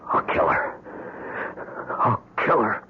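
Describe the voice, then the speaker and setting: An actor playing an obsessed, vain middle-aged husband in an old-time radio drama, theatrical, intense and brooding. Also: male voice, slow, very noisy, natural voice. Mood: murderous rage. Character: obsessed, vain middle-aged wealthy-wife's husband, tormented would-be killer. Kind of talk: old-time radio drama acting with first-person narration. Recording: tinny vintage radio broadcast, music behind.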